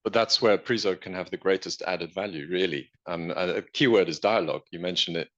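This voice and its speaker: Very deep-voiced man, measured. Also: fairly bright tone, muffled recording. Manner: measured, reflective, many fillers. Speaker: panelist. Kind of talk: meeting discussion.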